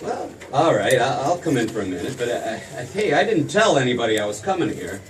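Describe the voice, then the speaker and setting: A man's voice: medium pitch, big pitch swings, fairly quick, a little hiss or hum, lightly acted. An actor playing an awkward, stubborn young small-town man with stammering, folksy hesitations in an old-time radio play. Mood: awkward, defensive. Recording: distant stage mic.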